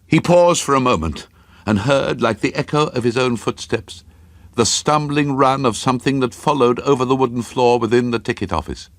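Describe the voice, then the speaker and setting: A man giving a dramatic, brooding and deliberate scripted narration of a ghost story, as in a radio play. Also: mid-range voice, gravelly, dry recording, studio quality.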